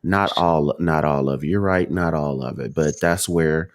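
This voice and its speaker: Very deep-voiced man, casual talk. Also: normal speed, slight background noise. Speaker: podcast panelist. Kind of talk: casual panel discussion about video games.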